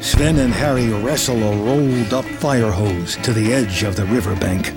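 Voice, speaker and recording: A man reading screenplay action as scripted narration, in a measured, present-tense manner. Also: fairly low pitch, over loud music.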